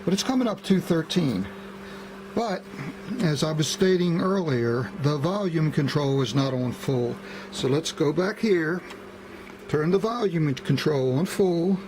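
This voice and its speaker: A man giving a casual, unscripted demonstration as a hobbyist repairer, halting and methodical. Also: fairly high pitch, lively intonation. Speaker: hobbyist radio restorer. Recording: clearly noisy hobby video recording.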